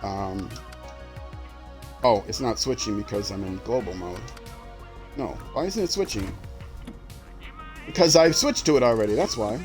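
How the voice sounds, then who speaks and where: A man's voice: lowish voice, lively intonation, a little slow, pauses often, darkish tone, faint music behind. An older retired man with a young-sounding voice, chatting casually and rambling with many fillers as he streams a game. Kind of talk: casual livestream commentary while playing.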